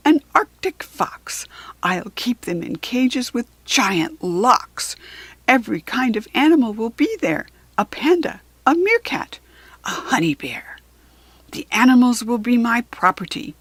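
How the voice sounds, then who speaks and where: A woman's voice: fairly high pitch, a bit bright, husky, no echo, full character voice. An American woman voicing a greedy, boastful storybook villain who chants in emphatic, singsong rhyme. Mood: gleeful listing.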